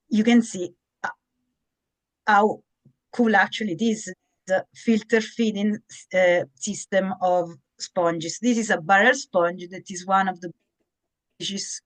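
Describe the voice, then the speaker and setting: This is a woman in her thirties with a clear Italian accent, speaking at a medium pitch for a woman. She gives an educational presentation as a marine biologist. Her delivery is halting, full of fillers and self-corrections. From Italy. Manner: hesitant, frequent "uh" fillers and restarts.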